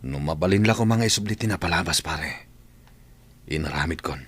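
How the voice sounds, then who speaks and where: Lalaki nga agak-akting iti taga-barrio a nagbaliwbaliw ti tonona. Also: male voice, low voice, expressive, a little fast, a bit bright, slightly rough, heavily acted. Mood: regretful. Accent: Ilocano. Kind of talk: Ilocano radio drama acting.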